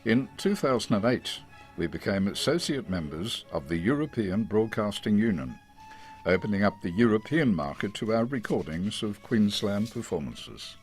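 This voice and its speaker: An Australian man reading a scripted promotional narration in a measured, formal announcer's manner. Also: on the low side, expressive. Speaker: radio station presenter. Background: music.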